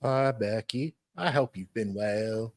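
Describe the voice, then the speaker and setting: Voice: medium pitch, takes it slowly, dry recording, clean studio sound. Wry panellist reading emails aloud. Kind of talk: reading documents aloud with joking asides.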